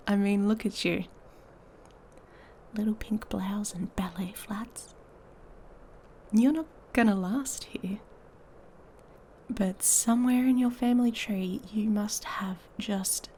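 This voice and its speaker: A woman playing an irritated, haughty female werewolf in an intimate ASMR roleplay, breathy and often whispering, taunting and seductive. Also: medium pitch, a little mellow, slight background noise. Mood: scornful, resentful.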